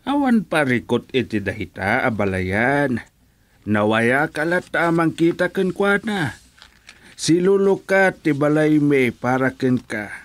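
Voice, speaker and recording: A man's voice: a little high, very animated, takes it slowly, dry recording. A man acting a mild-mannered, courteous friend in an Ilocano radio drama. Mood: sympathetic.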